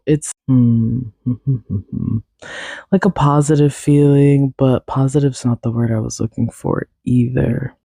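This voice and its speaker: Mellow-voiced man musing aloud. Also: medium pitch, vocal fry, dry recording. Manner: thinking aloud, searching for words. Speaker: podcast host. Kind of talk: casual solo chat.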